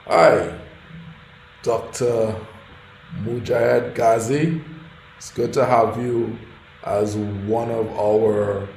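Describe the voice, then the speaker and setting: Host role for a man: gravelly, dark-toned voice, slow and drawn out, heard in an echoey room. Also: lowish voice, steady tone, slight background noise. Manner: drawn-out, filler-heavy delivery. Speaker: event host and interviewer. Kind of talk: event introduction and interview.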